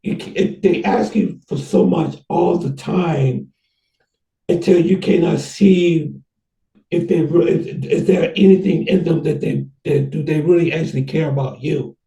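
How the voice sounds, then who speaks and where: A man with a gravelly, very dark voice talks in a loose, rambling way, often restarting his sentences. He sits back from the mic in an echoey room. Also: on the high side, recorded from a distance. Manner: rambling, halting, many restarts. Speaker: host. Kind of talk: casual conversation.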